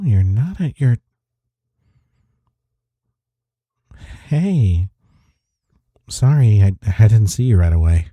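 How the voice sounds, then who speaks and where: A man playing a devoted, gentle boyfriend in an intimate comfort audio roleplay, soft-spoken and reassuring. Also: on the high side, no echo. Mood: caring, concerned.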